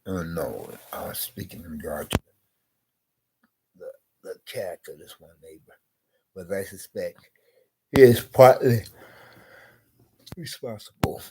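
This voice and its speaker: A man vlogging slowly and rambling, congested with a scratchy throat, repeating "you know". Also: deep voice, darkish tone, husky. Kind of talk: rambling personal vlog.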